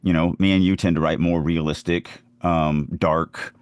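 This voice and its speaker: A man with a deep voice talks casually as a podcast co-host and writer. The sound is dry, with almost no room in it. He speaks conversationally, as in a discussion of craft between colleagues. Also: fairly even intonation, normal speed, clean tone, dry recording.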